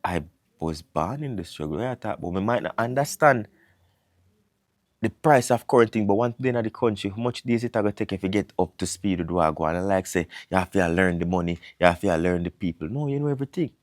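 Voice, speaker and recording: A man's voice: deep voice, lively intonation, a little fast, no room sound, clean studio sound. A man in his thirties from Jamaica with a Jamaican accent, talking casually to camera and mixing patois into his English. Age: 30s. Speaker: vlogger. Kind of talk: casual monologue.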